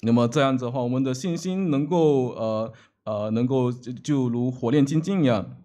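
A man's voice: mid-range voice, normal speed, warm tone. A man seated at the front of a church interprets a sermon phrase by phrase into a table microphone. His delivery is hesitant, broken by filler sounds and restarts as he searches for the next words.

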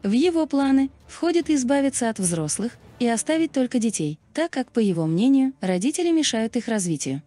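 A woman narrating a scripted video in a measured, explanatory manner. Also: a little high, sing-song, warm tone, faint music behind.

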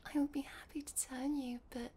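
A woman playing a sweet, shy young vampire girlfriend in an intimate ASMR roleplay, whispering softly and hesitantly. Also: sits high, flat delivery, moderate pace, slight background noise, close to an everyday voice. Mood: hesitant, caring. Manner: soft, breathy whispering, hesitant and giggly. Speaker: roleplay voice performer.

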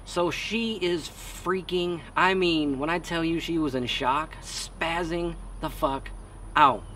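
A man's voice: on the high side, slow. Middle aged american guy telling a sweary story outside, blunt, mic a little far, bit of background noise. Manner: animated, blunt, acts out dialogue. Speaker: vlogger telling a personal story. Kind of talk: casual, profane storytelling. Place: outdoors in a garden. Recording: slightly distant outdoor mic, background noise.

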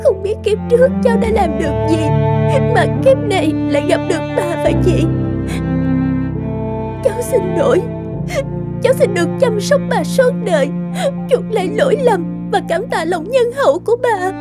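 A woman playing a remorseful, grateful young woman in an animated moral tale, pleading and emotional. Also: very high voice, moderate pace. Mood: tearful gratitude. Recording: loud background music.

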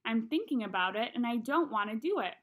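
Woman reading as a blunt, whiny young boy, high-pitched. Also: lively intonation, fairly quick. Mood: protesting. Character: reluctant, blunt young boy narrating his story.

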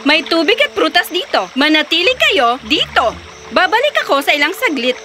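A woman's voice: very high voice, big pitch swings, moderate pace, music in the background. A woman playing a scheming, cold young stepmother in a fairy tale cartoon, coaxing and commanding. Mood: falsely sweet.

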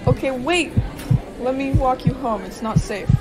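High-voiced, earnest teenage boy acted by a woman. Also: unhurried, heavy background noise. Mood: concerned. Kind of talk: amateur radio play acting.

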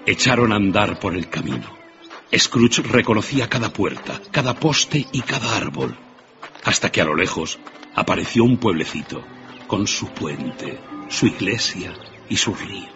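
Very deep male narrator. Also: sing-song, a little slow. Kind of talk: scripted dramatic storytelling narration. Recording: muffled radio broadcast with prominent music.

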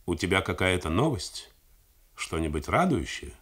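Man reading as army general, plain, direct, low voice. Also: very animated, normal speed, a bit bright, breathy edge, exaggerated voice. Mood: friendly. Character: commander-in-chief, plain and direct.

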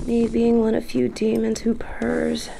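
A woman voicing a slow, gravelly demon girlfriend. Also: on the high side, monotone, noisy background. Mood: sleepy. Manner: soft, affectionate, drowsy. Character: sweet playful demon girlfriend who purrs. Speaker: voice actor in audio roleplay. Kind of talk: scripted audio roleplay.

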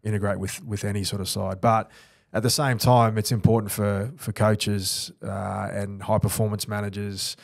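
A man's voice: on the low side, level tone, normal speed, gravelly, no room sound. A man in his thirties, born in New Zealand, with an Australian accent, a former rugby league player speaking earnestly and reflectively in a studio podcast interview. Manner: earnest, reflective, many restarts. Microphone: studio mic on boom arm.

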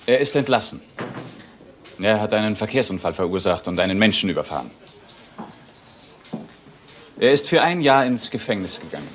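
Actor as a low-voiced, assured company manager. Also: male voice, expressive, fast, slight background noise, lightly acted. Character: male alarm-firm manager, firmly assured, formal. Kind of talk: German crime radio play acting.

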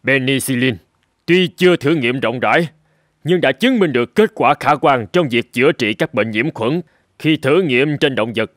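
A man voicing an earnest, hopeful middle-aged professor of medicine in a dramatic cartoon dub, speaking in a measured, solemn way. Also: mid-range voice, expressive, fairly bright tone, no echo, studio quality, close to an everyday voice. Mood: firm resolve. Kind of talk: dramatic animated-film dubbing.

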